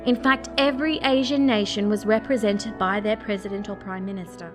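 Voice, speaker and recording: A woman giving clear, informative, scripted historical narration in a radio play series. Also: medium pitch, fairly even intonation, fairly quick, music in the background.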